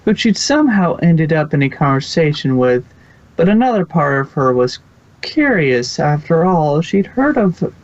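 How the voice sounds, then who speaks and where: A man's voice: fairly high pitch, big pitch swings, normal speed, no echo. A man narrating fan fiction from a script in an informal way, with occasional stumbles. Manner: informal, stumbles and self-corrects. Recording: dull lo-fi recording.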